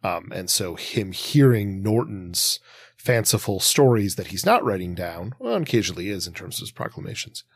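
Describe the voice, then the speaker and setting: A man's voice: sits low, very animated, moderate pace, slightly rough, no room sound. A man hosting a podcast, talking through comics analytically in long, winding, hesitant sentences as he thinks aloud. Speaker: podcast host. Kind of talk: casual analytical discussion of comics.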